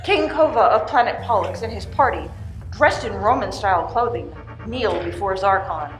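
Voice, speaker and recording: A woman's voice: medium pitch, expressive, normal speed. A woman reading scripted narration for a radio play. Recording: echoey live room, faint music.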